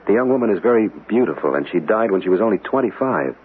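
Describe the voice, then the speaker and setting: An earnest, inquisitive gentleman of a radio mystery, with a fairly low, very expressive voice. He is courteous and a little hesitant, on a thin radio recording with faint hiss. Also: quick pace, slightly rough. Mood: earnest, probing. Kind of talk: radio drama acting. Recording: tinny band-limited radio recording with hiss.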